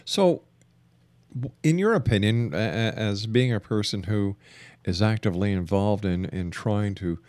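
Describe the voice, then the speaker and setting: Low-leaning male radio interviewer, halting delivery. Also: a little mellow, dry recording. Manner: halting, repeats words as he frames long questions. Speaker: radio show host. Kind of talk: interview questioning.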